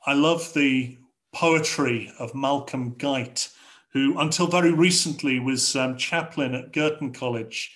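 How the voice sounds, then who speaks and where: A middle-aged man with an English accent preaching a sermon from a home study over a video call, in a measured, rhetorical way with repeated phrases. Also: mid-range voice, fairly bright tone. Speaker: pastor. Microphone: video call webcam.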